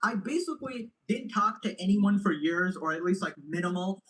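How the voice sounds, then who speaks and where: A man's voice: sits high, expressive, normal speed, a bit bright, low-quality recording. A young man with an American-sounding accent, talking to camera as a YouTuber in a rambling, self-mocking way. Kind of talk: casual talk to camera.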